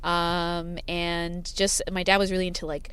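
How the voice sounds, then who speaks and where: A woman's voice: on the low side, little pitch movement, unhurried, fairly bright tone, clear, resonant tone. A woman who grew up with sign language as her first language, chatting as a band's singer-songwriter in a parked car, rambling with many fillers and laughs. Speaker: band singer-songwriter, guest. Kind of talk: casual interview chat.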